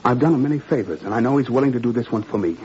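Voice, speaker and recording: A man's voice: medium pitch, racing, a little mellow, natural voice. A man playing an idealistic, troubled father in a 1940s radio drama, earnest and probing with wry irony. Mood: urgent, confiding. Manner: probing, earnest, wryly ironic. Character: idealistic French father, troubled, principled, quietly ironic. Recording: muffled, noisy old radio recording.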